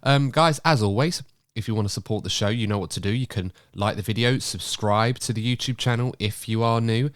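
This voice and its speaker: Male podcast host, slightly croaky with a cold, low voice, dry close sound, upbeat and chatty. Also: fairly quick, no echo. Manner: chatty, upbeat, running the show. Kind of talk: casual podcast chat.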